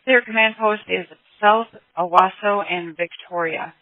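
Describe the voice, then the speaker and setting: A woman working as an emergency dispatcher, giving brisk replies over a tinny narrowband radio. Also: mid-range voice, no echo.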